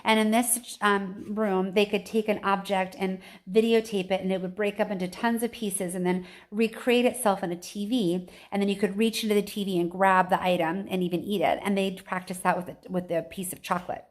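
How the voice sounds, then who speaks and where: A woman's voice: medium pitch, steady tone, fairly quick, hardly any pauses. A woman reading a children's book aloud like a teacher, expressively, with casual chatty asides.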